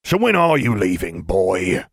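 A man voicing a gruff, resentful middle-aged uncle in an audiobook, grumbling his lines. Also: sits low, big pitch swings, unhurried, no echo, studio quality, exaggerated voice.

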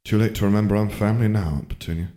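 A man's voice: on the low side, steady tone, racing, warm tone, lightly acted. A man reading the lines of a cocky teenage boy wizard in an audiobook, dry and sarcastic.

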